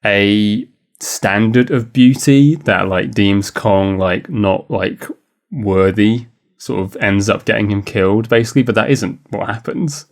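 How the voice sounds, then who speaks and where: A man's voice: lowish voice, unhurried. A man co-hosting a podcast, talking in a casual, rambling, conversational way about films. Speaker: podcast co-host. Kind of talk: casual film discussion.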